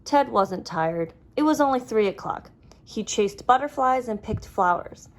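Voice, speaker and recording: Expressive, animated woman reading a storybook. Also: on the high side, a little slow. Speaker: storybook reader. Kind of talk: children's read-aloud narration.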